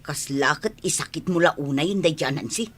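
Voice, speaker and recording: A woman playing a resentful, touchy wife in a radio drama, answering in a sulky, sharp way. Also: low-pitched, moderate pace, husky.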